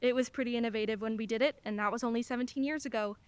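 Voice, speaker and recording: A woman's voice: a little high, fairly even intonation, racing, clear, resonant tone, no echo, close to an everyday voice. An actress playing a wry, candid single mother in her forties in a radio play, a lawyer who laughs easily while talking. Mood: conversational, wry.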